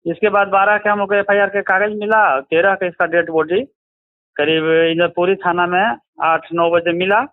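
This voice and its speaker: बिहार का एक आदमी, बिहारी लहजे में, फ़ोन इंटरव्यू में घटनाएँ सुनाता हुआ, अटक-अटक कर बोलचाल के अंदाज़ में।. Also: male voice, sits high, steady tone, moderate pace, no echo. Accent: Bihari. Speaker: interviewee, relative of a crime victim. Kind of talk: recounting events in a phone interview. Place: phone call. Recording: tinny, choppy phone line.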